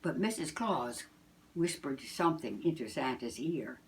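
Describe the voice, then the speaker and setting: Older woman reading a storybook, low and slow. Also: slight background noise. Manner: deliberate, expressive storytelling. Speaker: grandmother reading aloud. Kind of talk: children's storybook narration.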